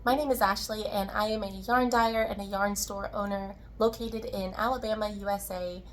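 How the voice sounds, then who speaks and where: A woman with a slightly hoarse, tired voice hosting a casual, chatty crafting video in a friendly way. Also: mid-range voice, steady tone, normal speed, over loud music. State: slightly hoarse, tired-sounding from recovering from illness. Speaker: podcast host, yarn dyer and shop owner.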